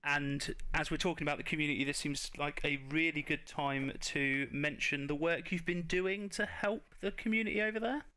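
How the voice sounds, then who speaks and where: A man's voice: on the high side, moderate pace, hardly any pauses, bright, forceful tone, clear, resonant tone. A man hosting a casual interview podcast in an informal, chatty and agreeable way.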